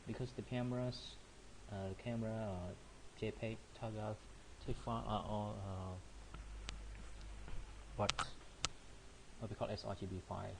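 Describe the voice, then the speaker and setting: A man's voice: on the low side, fairly even intonation, a little slow, creaky, long pauses. Hesitant man, noisy recording. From Cambodia. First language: not English. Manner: hesitant, thinking aloud with frequent fillers and restarts. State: coughing now and then. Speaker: software tutorial presenter. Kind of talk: screen-recorded software tutorial.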